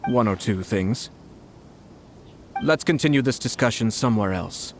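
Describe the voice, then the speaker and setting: An actor playing a refined young lord in a video game, polite, measured and unflappable, with a quietly playful streak. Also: male voice, on the low side, animated, faint music behind. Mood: composed.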